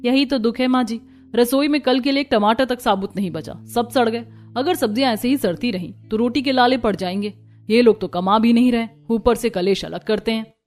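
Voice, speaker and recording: A woman's voice: on the high side, brisk, darkish tone, faint music behind, lightly acted. Plaintive young village daughter-in-law played by a woman. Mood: distressed, plaintive. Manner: plaintive, respectful.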